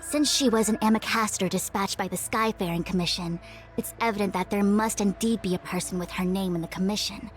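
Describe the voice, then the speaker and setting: A woman's voice: medium pitch, brisk, faint music behind, natural voice. A woman voicing a stern, composed official in a video game, speaking formally and with resolve. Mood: resolute.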